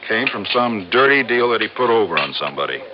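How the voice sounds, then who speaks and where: A man's voice: lowish voice, very animated, fast talker. An actor playing a grumbling, gossipy insurance office man in an old radio drama, talking emphatically. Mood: scornful. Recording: muffled old radio, faint background noise.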